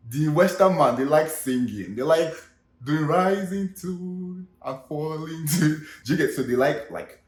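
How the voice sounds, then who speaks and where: A man's voice: a little high, expressive, a little slow, reverberant. A young man with a Nigerian Yoruba accent hosting a casual comedic vlog, chatty and full of fillers like "you know". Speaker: vlog host.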